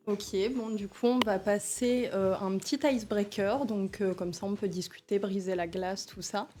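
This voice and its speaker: A woman's voice: mid-range voice, steady tone, moderate pace, mellow, soft background music. A woman in her twenties hosting a casual live chat, often hesitating with fillers. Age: 20s. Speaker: live host.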